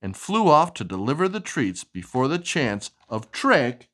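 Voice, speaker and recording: A woman reading a storybook aloud to a young child in an expressive, sing-song way. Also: rumbling low, unhurried. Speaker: storybook reader.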